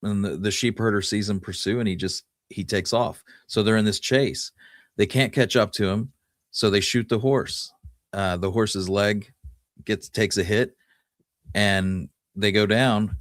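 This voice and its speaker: A man hosting a podcast, telling Western history in a conversational, somewhat halting way. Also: fairly low pitch, steady tone, no room sound, clean studio sound. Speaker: podcast host.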